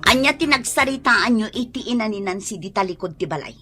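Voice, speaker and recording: A woman with a Filipino accent playing a sharp-tongued, indulgent wife and mother in an audio drama. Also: medium pitch, lively intonation, brisk, over music, natural voice. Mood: grudging. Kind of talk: Ilocano audio drama acting.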